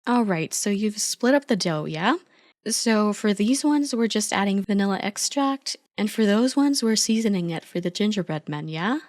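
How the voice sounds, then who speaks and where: Casting: actress as playful, loving girlfriend for ASMR roleplay, average female pitch, eager and teasing, very dry sound. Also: animated, moderate pace, no room sound, lightly acted. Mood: fond, mildly defensive. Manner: teasing, supportive, chatty. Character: affectionate, playful girlfriend, clumsy but eager at baking.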